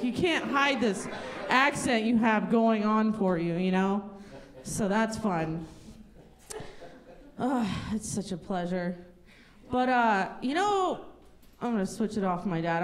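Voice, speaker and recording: A woman from Russia doing stand-up comedy on stage, telling stories in a conversational, sarcastic way. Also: a little high. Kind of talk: stand-up comedy before a live audience. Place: live comedy showcase at a lodge venue.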